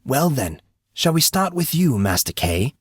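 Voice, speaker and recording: Guy doing a polite knowing gentleman for a fantasy audiobook, calm n formal, real dry sound. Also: medium pitch, unhurried, husky, no room sound, pitched above the performer's own voice. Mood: courteous, amused. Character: Brian, courteous, calm, knowing man of genteel speech.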